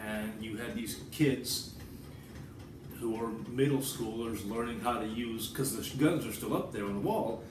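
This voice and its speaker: A man's voice: medium pitch, normal speed. An American man giving a casual, rambling vlog monologue, informal and digressive, with some swearing. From United States. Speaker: writer and vlogger. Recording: roomy echo, distant mic, background noise.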